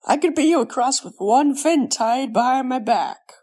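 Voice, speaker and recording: A woman voicing a confident, sporty young female sea pony in a cartoon fan dub, assured and unhurried. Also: high voice, mellow. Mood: teasing.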